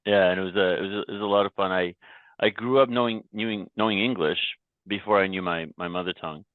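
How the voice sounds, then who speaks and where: A man's voice: low voice, steady tone, quick pace, no echo. A man who grew up in Canada, a podcast guest and company founder telling his story reflectively, often restarting and repeating words. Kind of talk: casual interview talk. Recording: dry, tinny phone-like remote line.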